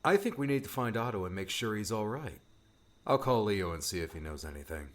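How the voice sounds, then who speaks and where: A man's voice: on the low side, big pitch swings, brisk, a bit bright. A man voicing an earnest, resolute young hero who takes charge in an audiobook. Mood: concerned. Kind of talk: acted audiobook dialogue.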